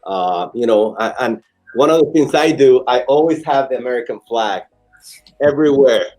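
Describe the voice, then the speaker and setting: A man of about forty with a Dominican Spanish accent and a mellow, low-brightness voice. He speaks as an interview guest, sharing his story earnestly and haltingly, repeating words as he goes. Faint music is present. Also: poor audio. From Dominican Republic. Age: late 30s to 40. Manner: halting, earnest, with frequent repetitions. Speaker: interview guest, restaurant owner. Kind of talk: conversational personal storytelling.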